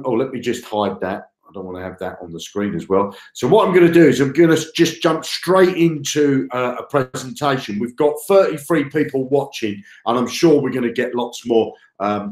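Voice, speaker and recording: A man with a cold, sounding croaky and blocked up in the nose, giving a chatty live-streamed sales presentation that repeats points and checks in with viewers. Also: medium pitch. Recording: roomy echo.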